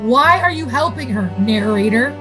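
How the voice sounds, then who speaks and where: A woman's voice: on the high side, expressive, moderate pace, fairly bright tone, soft background music. A woman playing an indignant, overwrought matriarch in a radio play, scolding and exclaiming. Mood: indignant, alarmed.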